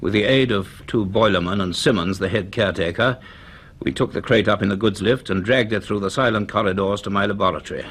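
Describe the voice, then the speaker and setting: An actor playing an earnest, commanding British university professor in a radio drama, speaking in a measured, deliberate, authoritative way. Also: male voice, on the low side, a bit bright, slightly rough. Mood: measured, grave. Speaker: actor playing the lead and narrator. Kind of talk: radio drama dialogue and first-person narration.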